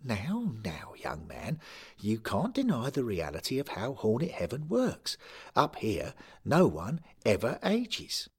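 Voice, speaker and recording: A gentle man in his nineties, as a storyteller puts him on, speaking to a boy in a soft, fatherly way. He hesitates and stammers, often slipping into a breathy near-whisper, and his pitch rises and falls a lot. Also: wildly swooping pitch, slow pace, full character voice. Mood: soothing. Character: gentle 92-year-old man, football club manager.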